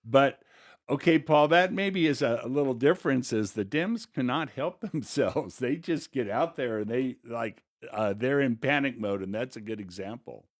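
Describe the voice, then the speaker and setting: American man, medium pitch, very dry sound. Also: animated, moderate pace, dry recording. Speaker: podcast co-host giving political commentary. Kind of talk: casual conversational political commentary.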